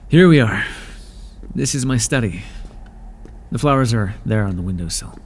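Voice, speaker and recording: A man's voice: lowish voice, expressive, normal speed. A man playing an aloof underworld god. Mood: curious. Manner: dry, aloof, quietly curious. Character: proud weary male god of the underworld. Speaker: ASMR roleplay performer. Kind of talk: ASMR roleplay.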